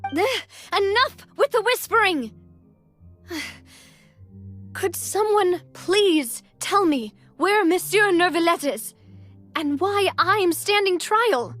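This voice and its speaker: A woman's voice: extremely high pitch, expressive, slow, fairly bright tone, faint music behind, full character voice. An actress playing an earnest, polite young lady leader who is easily puzzled, in a video game. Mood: exasperated. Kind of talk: English video game voice acting.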